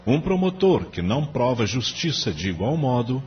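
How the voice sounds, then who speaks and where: An actor playing a solemn, idealistic law professor lecturing in an oratorical, emphatic way in an audio drama. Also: male voice, medium pitch, big pitch swings, normal speed, natural voice. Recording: dull lo-fi audio. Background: music.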